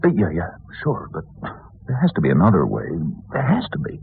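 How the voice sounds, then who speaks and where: Deep, husky young American husband. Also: male voice, wildly swooping pitch, brisk, full character voice. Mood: flustered, indignant. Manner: slangy, hesitant stammering under pressure. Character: evasive, fast-talking young husband, down on his luck. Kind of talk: old-time radio drama acting. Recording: muffled narrowband vintage radio recording.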